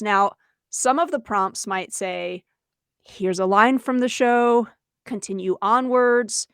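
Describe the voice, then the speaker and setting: A woman's voice: a little high, clear, resonant tone, no room sound, clean studio sound. A woman co-hosting a webinar for teachers, explaining conversationally and voicing imagined quotes as she goes. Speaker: webinar co-host, educator.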